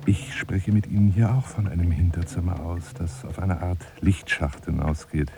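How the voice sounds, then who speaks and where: An actor playing a lonely, eccentric romantic braggart who courts a woman in a florid, theatrical radio-play monologue with hushed asides. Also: deep voice, fairly even intonation, normal speed, a crowd in the background. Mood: confiding, proud.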